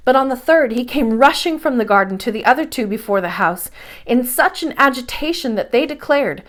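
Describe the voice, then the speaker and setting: A woman reading a children's story aloud as a storyteller, with expressive, measured phrasing and dramatic emphasis. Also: fairly high pitch.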